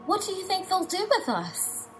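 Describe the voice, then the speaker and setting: An earnest, despairing professor in an alien-invasion radio play, acted by a woman. The voice is high, with formal, questioning phrasing. Music runs clearly behind it. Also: big pitch swings, moderate pace. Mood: troubled, questioning. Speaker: actor in an amateur radio drama.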